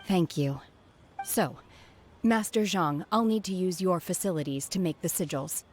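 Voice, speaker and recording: An actress playing a reserved young woman raised apart from people, speaking in a calm, measured, formal way. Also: on the low side, slight background noise, close to an everyday voice. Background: soft game music.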